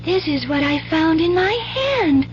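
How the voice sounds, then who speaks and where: A woman's voice: very high-pitched, very animated, a little slow, slight background noise, full character voice. An actress playing an earnest, dreamy little girl in a 1970s radio drama, folksy and full of childlike wonder. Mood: wonderstruck, dreamy. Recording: muffled 1970s radio recording, music behind.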